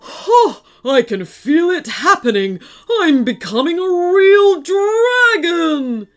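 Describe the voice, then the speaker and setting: A woman's voice: very high-pitched, very animated, voice pushed up, heavily acted. A woman voicing an evil chocolate dragon in a children's story, menacing and sneering as it draws out its words. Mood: exultant. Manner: menacing, sneering, drawn-out delivery.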